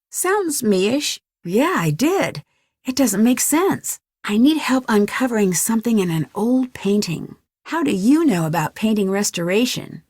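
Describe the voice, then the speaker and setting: A woman's voice: a little high, darkish tone, no room sound, clean studio sound. An actress playing a curious, level-headed young female detective, chatting earnestly and a little wryly in a video game. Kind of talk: conversational game dialogue, one side of phone calls.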